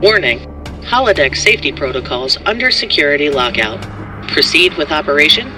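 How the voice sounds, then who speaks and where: A man's voice: on the high side, animated, fairly quick, distant mic, over music, pitched above the performer's own voice, lightly acted. A man voicing an impassive starship computer that makes formal, clipped announcements in a sci-fi tabletop game.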